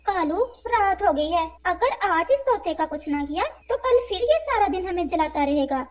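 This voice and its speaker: Woman playing a high-pitched scheming crow wife. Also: expressive, moderate pace. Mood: sly flattering. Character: scheming, flattering wife crow, a crooked shopkeeper.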